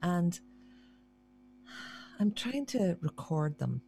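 A woman's voice: on the low side, big pitch swings, extremely slow, vocal fry, mellow, a little hiss or hum. A woman harpist, chatting casually and self-deprecatingly as a guest in an interview, often restarting her sentences.

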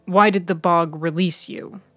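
A woman voicing a calm, attentive man who asks measured, probing questions in a story podcast. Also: lowish voice, no echo, faint music behind. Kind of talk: serial fiction audio storytelling.

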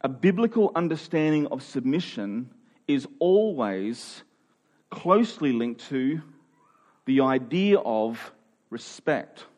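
A man's voice: mid-range voice, animated, takes it slowly, a little mellow. A man preaching a sermon in a conversational way, building to emphatic peaks. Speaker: pastor.

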